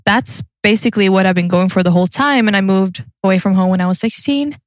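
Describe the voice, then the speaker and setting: A woman's voice: mid-range voice, quick pace, no echo, clean studio sound. A young woman with a Norwegian accent, chatting as a podcast co-host in an informal, sweary, self-deprecating way. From Norway. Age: young adult. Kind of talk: casual podcast chat.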